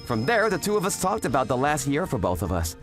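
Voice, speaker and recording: A man's voice: mid-range voice, quick pace, lightly acted. A young man playing an earnest office worker in a manga dub, narrating his story and voicing his lines expressively. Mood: reflective narration. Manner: animated, expressive, swings between narration and exclamation. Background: music.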